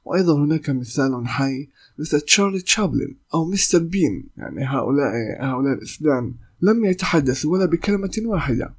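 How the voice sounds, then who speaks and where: A young man from Palestine, a voice actor, hosting a solo podcast in careful formal speech, pausing often with fillers and restarts. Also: a little high, lively intonation. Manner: hesitant, many "uh" fillers and restarts. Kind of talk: solo podcast talk in Modern Standard Arabic.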